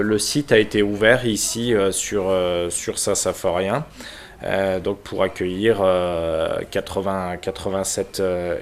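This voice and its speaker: A man from France who is a local mayor, giving an unscripted explanation in a halting, hesitant manner with frequent pauses. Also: on the low side, clean tone. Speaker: village mayor and local councillor.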